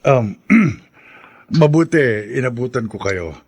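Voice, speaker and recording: Husky, animated middle-aged suitor. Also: male voice, mid-range voice, slow pace, exaggerated voice. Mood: eager, friendly. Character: eager, persistent smooth-talking widower suitor, middle-aged man. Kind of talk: radio drama acting.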